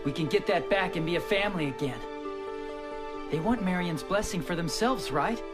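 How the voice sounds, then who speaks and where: An actor playing an earnest, determined teenage son in a video game drama. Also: male voice, high voice, moderate pace, natural voice. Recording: music behind the voice. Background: music.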